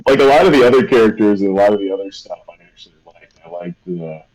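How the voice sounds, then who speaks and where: A man's voice: on the low side, lively intonation, quick pace, darkish tone, low-quality recording. A young man chatting casually on a live stream, rambling and hesitant with lots of fillers. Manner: rambling, hesitant, self-deprecating. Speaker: streamer and artist.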